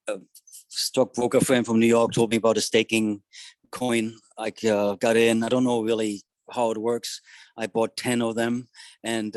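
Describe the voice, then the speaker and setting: A man's voice: fairly low pitch, dry recording. Man talking casually, searching for words. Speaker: meeting participant. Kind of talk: casual self-introduction.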